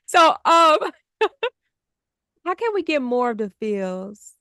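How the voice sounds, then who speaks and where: Higher-voiced woman hosting a book podcast. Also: sing-song, takes it slowly, bright, forceful tone, no room sound, studio quality. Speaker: podcast host.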